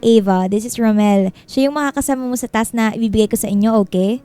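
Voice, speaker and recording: A woman's voice: a little high, fast talker, warm tone, clear, resonant tone, natural voice. An actress playing a bossy, teasing female friend and team organizer with a Filipino accent in a radio drama. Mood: chatty, teasing.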